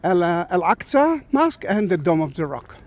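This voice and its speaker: A man's voice: sits high, big pitch swings, moderate pace. A man, chatting playfully and enthusiastically as a tour guide and host on site. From Israel. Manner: enthusiastic, playful, interjects often. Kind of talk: casual on-site tour chat.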